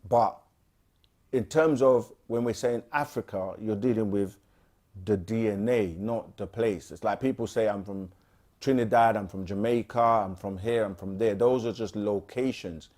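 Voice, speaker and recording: A man co-hosting a casual livestream, reading viewer questions aloud and answering them with frequent pauses and fillers. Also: fairly low pitch. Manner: relaxed, frequent "um" and restarts, reads questions aloud.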